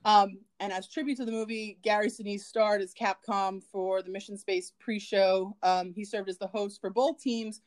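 A woman co-hosting a podcast in relaxed conversation, chatty and giggly, with plenty of ums and likes. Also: mid-range voice, moderate pace, few pauses. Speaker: podcast co-host. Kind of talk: casual chat.

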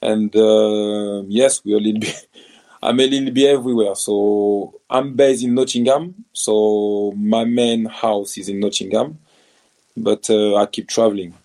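French-accented man in his thirties, ex-footballer, halting and earnest delivery, lowish mellow tone. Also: fairly even intonation. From France. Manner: hesitant, many restarts and fillers, non-native grammar. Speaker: former professional footballer and business founder. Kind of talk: casual interview answers.

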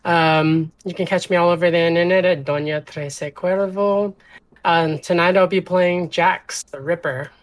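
A woman with a low, scratchy voice introduces herself as a player. She is still recovering from an illness and hesitates often. The sound is dry and close. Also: fairly even intonation, unhurried, no echo. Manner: soft, hesitant, many ums.

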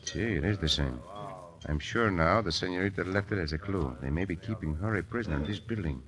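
An actor playing a courteous, commanding Mexican cowboy adventurer in an old-time radio western, with a Mexican accent. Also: male voice, deep voice, fairly quick, a crowd in the background, natural voice. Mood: low, wary. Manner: polite, commanding, sprinkles in Spanish. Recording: noisy vintage radio recording.

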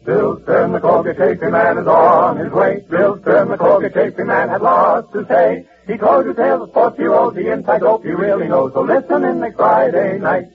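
A man's voice: high-pitched, moderate pace, creaky, long pauses, a loud crowd. A man singing a sponsor jingle in a 1940s radio style. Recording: tinny old radio, loud music.

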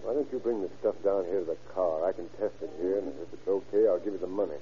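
Low-voiced American undercover cop, played by an actor. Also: male voice, fast talker, warm tone, dull audio, natural voice. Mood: cool, businesslike. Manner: casual, slangy, assured. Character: easygoing, shrewd male undercover policeman. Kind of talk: 1940s radio acting. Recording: old radio recording, thin, faint noise.

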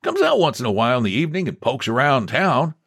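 A man's voice: mid-range voice, huge pitch swings, quick pace, a bit bright, slightly rough, pitched above the performer's own voice, heavily acted. A man voicing a chatty small-town cashier in an audiobook, gossiping in a folksy, offhand way. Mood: gossipy. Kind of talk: audiobook character dialogue.

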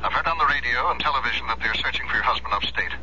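An actor playing a concerned, principled doctor in a radio drama, formal and insistent, heard over a telephone. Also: male voice, mid-range voice, racing, over a noisy crowd. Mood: grave, warning. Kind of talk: 1970s radio drama acting. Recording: dull, noisy old radio recording, phone call.

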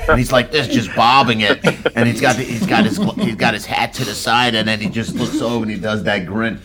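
A man's voice: on the low side, fairly even intonation, brisk, a loud crowd. A man hosting a podcast who asks questions and tells rambling stories in a casual way. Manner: loose, rambling storytelling. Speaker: podcast host. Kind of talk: casual chat.

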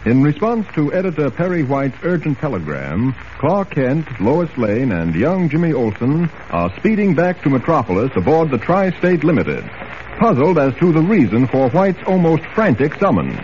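Animated male 1940s radio narrator. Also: fairly high pitch, moderate pace. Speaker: story narrator. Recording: muffled, slightly noisy old radio recording.

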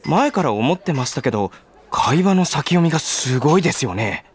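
An actor playing a friendly, polite junior office worker in a radio drama, boyish and gently teasing. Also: male voice, on the high side, very animated, moderate pace, slightly rough. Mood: puzzled, teasing. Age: young adult.

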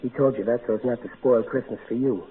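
A man's voice: medium pitch, steady tone, fast talker, husky. Folksy guy, tinny old radio. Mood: gentle, somber. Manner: folksy, ungrammatical, earnest, blustery. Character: big-hearted, folksy, exasperated working-class everyman. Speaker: actor in a radio comedy-drama. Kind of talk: old-time American radio acting. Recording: narrow tinny vintage radio broadcast, hissy.

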